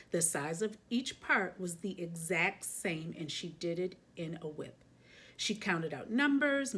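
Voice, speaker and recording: A woman with a fairly low voice hosts a read-aloud for children. She moves between casual chat and expressive picture-book narration, adding her own chatty asides as she goes. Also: slightly rough.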